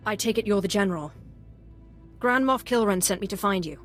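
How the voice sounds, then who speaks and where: A woman's voice: medium pitch, brisk, faint music behind, lightly acted. A woman as a composed, commanding Sith agent. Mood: cool command. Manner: controlled, authoritative.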